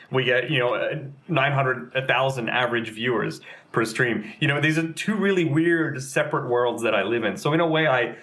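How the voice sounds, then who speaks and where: A man's voice: mid-range voice, a bit bright. Young guy chatting away, lots of ums and you-knows, easygoing interview talk. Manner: rambling, lots of fillers and restarts. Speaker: game community manager and streamer. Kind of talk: casual interview chat.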